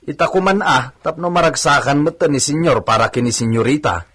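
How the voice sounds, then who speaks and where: A man's voice: fairly high pitch, brisk, fairly bright tone, husky. A man playing a grumbling but deferential servant in an audio drama, given to groans, exclamations and complaints. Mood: subdued.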